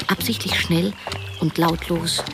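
A conflicted, stubborn cleaning woman narrating a radio drama. Her voice is on the low side with a gravelly creak, confiding and wry, with music behind. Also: fairly even intonation, measured pace. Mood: confiding, brooding. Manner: confiding, hesitant in dialogue. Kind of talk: German radio drama first-person narration.

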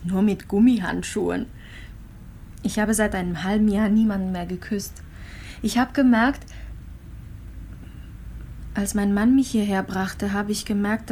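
A woman's voice: mid-range voice, darkish tone, slight background noise, natural voice. An actress playing a lonely, fragile female patient in a radio play, confiding hesitantly and intimately.

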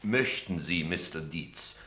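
An actor playing a pompous, high-minded opera board member, declaiming solemnly in a radio play. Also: male voice, animated, takes it slowly, pushed and bright, full character voice. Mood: solemn, lofty. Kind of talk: radio drama acting. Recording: echoey room.

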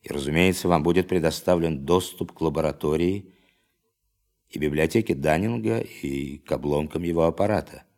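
A formal man in charge in a radio drama. His voice is extremely deep, and he delivers official, authoritative statements as if briefing a team. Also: normal speed, a little mellow. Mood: formal, commanding. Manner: official, declarative. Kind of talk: radio play acting.

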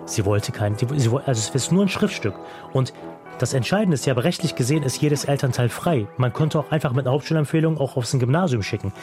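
A young man telling his own life story with earnest reflection, pausing often with fillers. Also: medium pitch, animated. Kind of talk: personal storytelling in a radio feature. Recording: music bed behind the voice.

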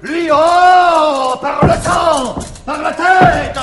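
A man's voice: extremely high pitch, very slow, a crowd in the background, exaggerated voice. An actor playing a blustering manservant who poses as a murderous swordsman, bellowing threats and oaths in a theatrical stage farce. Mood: menacing rage. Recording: noisy 1961 theatre recording, audience behind.

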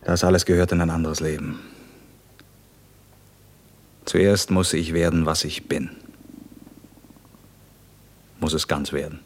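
A stern revolutionary commander in an old 1950s German radio play. His voice sits at a medium pitch, and he speaks with measured, sardonic menace, like someone running an interrogation. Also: very animated. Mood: brooding, hushed.

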